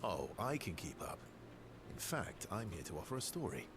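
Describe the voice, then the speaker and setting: Low, gravelly, wry man. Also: very animated, normal speed, noisy background. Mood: wry, confiding. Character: wry, guarded man with a scarred past. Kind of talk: naturalistic video game dialogue. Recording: prominent game music behind dialogue.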